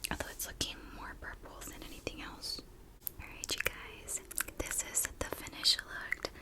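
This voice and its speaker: A woman's voice: normal speed, pushed and bright. A woman hosting an ASMR video, telling stories in a chatty, confiding and informal way. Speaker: ASMR host telling true crime while doing makeup.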